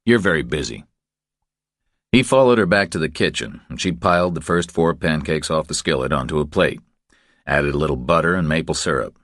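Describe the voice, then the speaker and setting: A man's voice: a bass voice, a little fast, a bit bright, no echo, studio quality. A man reading a crime thriller audiobook as scripted narration.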